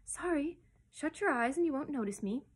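A woman voicing a gentle, busy sunbeam in a storybook, polite and apologetic in manner. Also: sits high, animated, pitched above the performer's own voice. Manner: polite, hurried, fond. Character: gentle, apologetic, busy sunlight that loves praise.

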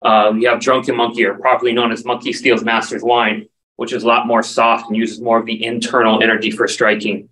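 A man's voice: medium pitch, flat delivery, brisk, pushed and bright. Man on a distant video call. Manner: earnest, explanatory, lists terms steadily. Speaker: martial arts instructor, guest. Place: dim indoor room. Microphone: video call, standing back from camera.

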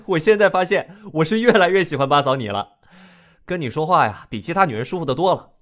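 Man acting a young prince, animated pitch, playful, teasing, breezy, audio drama. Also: moderate pace, pauses often. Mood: amused, probing.

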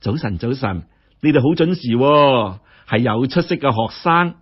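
A man's voice: mid-range voice, animated, moderate pace, husky, highs cut off. A man voicing a mild, encouraging tutor in a story, courteous and formal. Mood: pleased.

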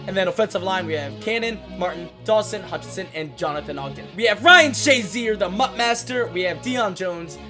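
A young man in his twenties, hoarse from losing his voice, giving excitable casual commentary while opening packs in a video game. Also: high voice, fairly quick, few pauses. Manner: excitable, hyped outbursts. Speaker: gaming YouTuber. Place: small room at a desk. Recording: music playing behind the voice.

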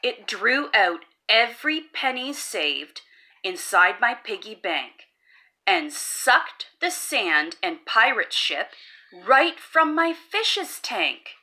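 A woman's voice: on the high side, sing-song, slow pace, sharp tone. Sing-song woman reading a picture book. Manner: sing-song, playful rhyming delivery. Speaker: storybook read-aloud host. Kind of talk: children's picture book read-aloud.

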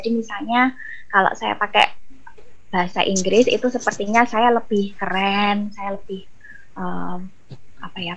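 A woman's voice: mid-range voice, fairly bright tone, highs cut off. A woman, a lecturer explaining conversationally and thinking aloud on a video call. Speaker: university lecturer, webinar speaker. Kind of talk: explanatory answer to audience question. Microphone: headset on video call.

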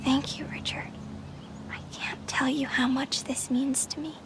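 A woman's voice: high-pitched, flat delivery, unhurried, whispered. A woman voicing a young girl, a playful, teasing childhood friend in a video game. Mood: hushed, grateful. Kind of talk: dramatic video game acting.

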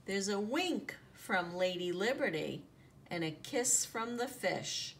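A woman reading a children's picture book aloud in an expressive, deliberate storytelling manner, drawing out the sound words. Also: mid-range voice. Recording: noticeable background noise, home recording.

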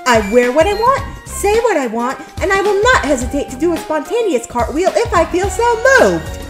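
Woman voicing a high, brash young teen girl. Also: sing-song, moderate pace, music in the background. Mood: cocky, excited. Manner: cocky, peppy. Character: brash, boastful thirteen-year-old cartoon girl.

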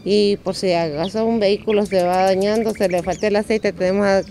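A woman's voice: medium pitch, fairly even intonation, a little fast, warm tone, slight background noise. A middle-aged woman with a Nicaraguan accent giving casual interview answers as a restaurant owner. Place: open-air roofed roadside restaurant. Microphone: handheld microphone on table in front.

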